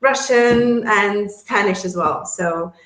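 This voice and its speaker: A woman's voice: mid-range voice, takes it slowly, a bit bright, reverberant, poor audio. A woman from Latvia with a Latvian accent, a guest chatting casually in an interview, laughing often and restarting her sentences. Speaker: guest, relocation service founder.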